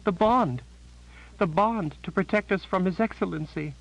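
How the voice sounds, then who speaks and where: A man's voice: high voice, normal speed, vocal fry, voice pushed up, low-quality recording. A man voicing a frightened, pained captive woman who speaks in a trembling whisper.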